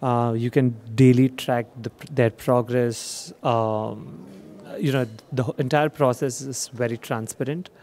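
A man's voice: mid-range voice, steady tone, measured pace, darkish tone. A young man presenting his company at a trade show demo theater, hesitant with many fillers. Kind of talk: trade show sales pitch. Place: dark expo demo theater.

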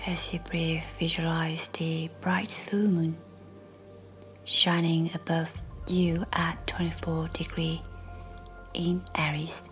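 A woman reading a scripted guided meditation in a soft, breathy, near-whispered voice, gentle and measured with long pauses. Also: low-pitched, flat delivery, poor audio. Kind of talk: slow, soothing guided meditation, scripted narration. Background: faint music.

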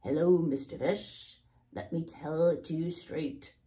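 A woman voices a frank male octopus from a picture book. He speaks in a lowered, mellow voice, measured and matter-of-fact, telling things bluntly. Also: fairly even intonation.

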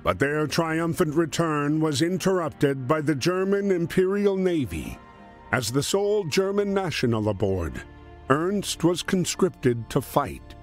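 A man performing as a solemn storyteller, narrating a wartime adventure game in a measured, grave, expressive way. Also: on the high side. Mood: solemn storytelling. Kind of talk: scripted dramatic storytelling narration. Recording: music under the voice.

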